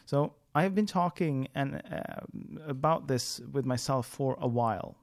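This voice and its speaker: A man whose first language is Swedish, hosting a podcast in English and talking through his thoughts out loud. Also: medium pitch, measured pace. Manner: thinking aloud, frequent fillers and restarts. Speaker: podcast host. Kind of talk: solo podcast monologue.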